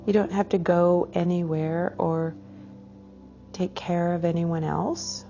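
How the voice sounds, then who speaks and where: A woman leading a guided meditation for a class in a soothing, unhurried way, drawing out phrases and letting the endings trail off softly. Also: fairly low pitch, fairly even intonation, highs cut off, faint music behind.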